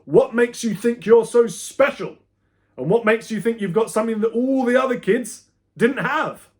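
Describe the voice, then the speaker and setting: A man voicing an enraged, balding male schoolteacher from a children's story, shouting scornful questions at a pupil. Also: very high-pitched, normal speed, pitched above the performer's own voice. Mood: explosive anger. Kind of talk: children's audiobook character voice.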